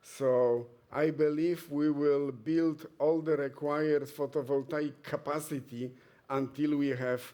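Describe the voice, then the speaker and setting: A man from Poland answering a panel question for a photovoltaic association, measured and dryly skeptical. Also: a little high, fairly even intonation, warm tone. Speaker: solar association representative. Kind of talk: panel discussion.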